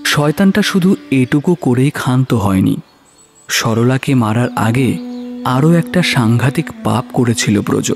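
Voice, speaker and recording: A man's voice: medium pitch, animated, measured pace, gravelly. Male horror-story narrator over music. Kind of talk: dramatic scripted narration.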